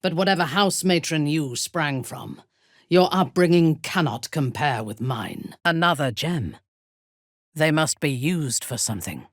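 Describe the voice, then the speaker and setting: A ruthless, commanding female dark elf for a fantasy game. Her voice is husky and mostly low, with wide, dramatic swings in pitch. She speaks formally and imperiously, with a vengeful edge. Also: animated, measured pace, no room sound, studio quality. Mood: measured, grave. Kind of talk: fantasy video game voice acting.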